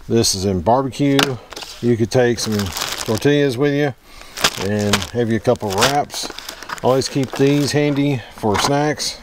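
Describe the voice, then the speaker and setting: A man, talking casually to camera at a wooded campsite, easygoing and rambling with many pauses and ums. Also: mid-range voice. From Middle Tennessee. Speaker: outdoors vlogger. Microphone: camera mic at close range. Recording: outdoor recording with clear background noise.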